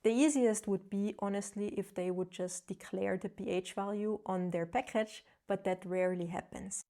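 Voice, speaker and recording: A woman's voice: medium pitch, fairly even intonation, moderate pace, dark tone. A woman with a German accent, a non-native English speaker, explaining skincare findings in an earnest, casual vlog with light self-deprecating humor. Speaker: travel vlogger.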